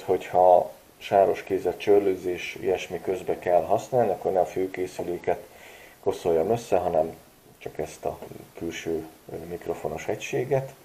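Low-voiced man reviewing a gadget casually. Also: low-quality recording. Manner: relaxed, chatty, frequent hesitation fillers. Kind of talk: casual product review vlog.

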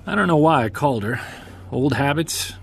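A man's voice: fairly high pitch, animated, normal speed, gravelly, husky, full character voice. A man playing an earnest, wry Sasquatch investigator, confiding in first person in an audio drama. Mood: rueful. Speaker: narrator and lead of an audio drama. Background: music.

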